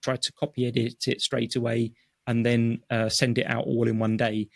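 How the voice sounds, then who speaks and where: A man who speaks English as his first language talks through his writing process as an interview guest. He is thoughtful and halting, full of fillers and restarts. His voice sits in a middle register and sounds dry and close to the mic. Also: medium pitch, flat delivery, gravelly, no echo. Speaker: guest, technical writer. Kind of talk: conversational interview. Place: home office.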